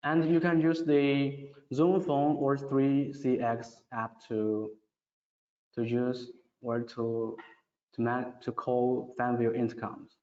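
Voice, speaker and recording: A man who is a non-native English speaker, answering audience questions as a webinar presenter, haltingly, in simple phrases. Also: mid-range voice, flat delivery, warm tone. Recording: muffled audio in an echoey, reverberant room.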